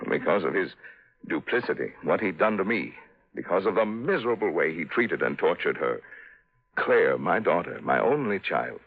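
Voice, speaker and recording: A desperate, aging businessman in an old radio play. His low voice swings widely as he speaks in formal, agitated, bitter phrases. The sound is tinny and band-limited, like a vintage broadcast. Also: big pitch swings, normal speed, husky, heavily acted. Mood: anguished. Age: older. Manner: agitated, formal, melodramatic delivery. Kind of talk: 1950s radio drama acting.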